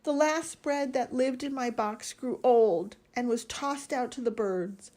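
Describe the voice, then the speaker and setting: Storybook role: woman voicing a meek, tearful bread boy, higher register. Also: measured pace, darkish tone, natural voice. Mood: hurt. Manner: meek, tearful, self-pitying. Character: timid lonely slice of bread, young male.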